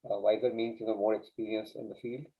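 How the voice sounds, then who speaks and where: A man's voice: fairly low pitch, flat delivery, fast talker, slightly rough. A man whose first language is Urdu, a guest coach telling a story in an earnest, casual way with many restarts. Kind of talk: casual interview chat. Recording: dull lo-fi sound.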